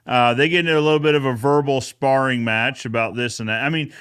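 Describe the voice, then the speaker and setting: A man's voice: mid-range voice, fairly even intonation, fast talker, a bit bright, clear, resonant tone. A man hosting a podcast recap in a casual, folksy, conversational way. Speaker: host of a podcast recap show.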